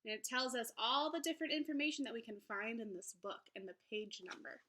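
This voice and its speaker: Bright, high-voiced woman teacher reading aloud. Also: lively intonation, fast talker. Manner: encouraging, speaking to young children. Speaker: elementary school teacher. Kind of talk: children's read-aloud lesson.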